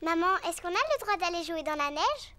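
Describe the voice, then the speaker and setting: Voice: very high-pitched, big pitch swings, fairly quick, sharp tone, exaggerated voice. A performer voicing a cheerful, bossy little girl pig with childlike delivery in a cartoon dub. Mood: excited.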